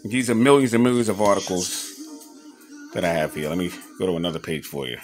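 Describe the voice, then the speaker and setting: Low-voiced man narrating a scrapbook. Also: expressive, quick pace, soft background music. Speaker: boxing memorabilia collector showing his scrapbooks on video. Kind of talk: casual show-and-tell commentary, reading out old newspaper clippings.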